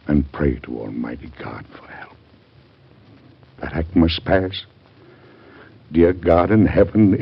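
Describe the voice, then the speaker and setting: An actor in an old-time radio drama playing a middle-aged, devout American president with a husky voice and a grave, stately, oratorical manner. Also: male voice, rumbling low, slight background noise, heavily acted. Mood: anguished, weary. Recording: dull vintage radio drama recording.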